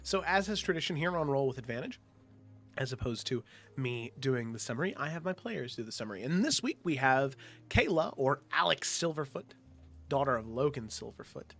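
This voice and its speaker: A man with a congested, slightly nasal voice, opening a tabletop game session as game master in a relaxed way, with many ums. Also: medium pitch, fairly quick, gravelly. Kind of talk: casual show opening.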